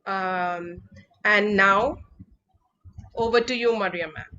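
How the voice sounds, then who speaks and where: Bright-voiced woman co-host, lo-fi call. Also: mid-range voice, takes it slowly. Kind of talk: hosting an online event. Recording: dull lo-fi video call.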